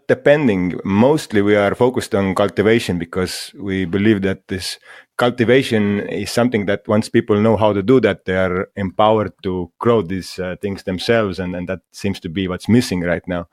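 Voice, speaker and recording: A man from Estonia, a guest in an interview, speaking English in a halting way with many fillers and restarts. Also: on the low side, a little mellow, no echo, clean studio sound. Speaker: guest, mushroom school founder. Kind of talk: casual interview talk.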